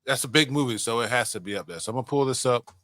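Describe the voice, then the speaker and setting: Bright-voiced man hosting a casual podcast. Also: medium pitch, very fast, no echo, clean studio sound. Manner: swears freely. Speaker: podcast host. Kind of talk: casual chat.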